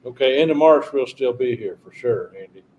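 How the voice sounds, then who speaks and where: A man's voice: mid-range voice, expressive, a little fast, highs cut off, slight background noise. A middle-aged man hosting a casual live stream, rambling through memories and chatting with viewers by name. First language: English. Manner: rambling, reminiscing, talking to viewers in chat. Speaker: channel host doing a live stream.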